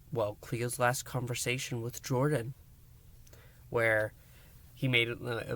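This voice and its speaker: A man hosting a review, recapping a story in a theatrical, emphatic way with dramatic pauses and hushed words. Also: mid-range voice. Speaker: podcast review host.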